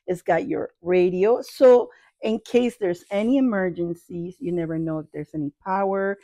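Female vlogger, middle-aged, lowish pitch, casual review chat, playful and repetitive. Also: normal speed, warm tone, no room sound. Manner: playful, addresses viewers affectionately, repeats phrases. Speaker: video host reviewing products. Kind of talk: casual chatty product review. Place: small cluttered room indoors.

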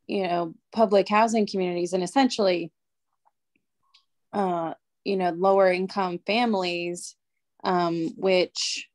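A young woman on a video call, speaking slowly and hesitantly with many fillers in a casual discussion. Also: on the low side. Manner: slow, hesitant, lots of fillers.

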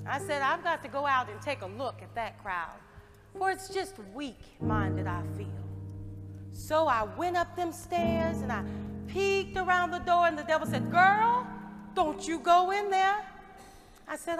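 A woman playing a high-voiced, fervent maid. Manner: folksy, fervent, emphatic. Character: devout, outspoken maid full of fervent faith. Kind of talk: musical stage play acting. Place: theatre stage. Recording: live stage with long reverb, music.

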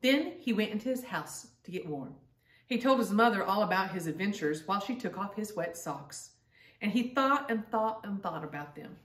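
A woman's voice: mid-range voice, animated, moderate pace, fairly bright tone. Echoey middle-aged woman reading to children. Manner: addresses young listeners directly, with simple explanations and questions. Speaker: storytime host reading to grandchildren. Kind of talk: children's picture-book read-aloud with chatty asides. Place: living room at home, indoors. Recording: roomy echo, distant microphone.

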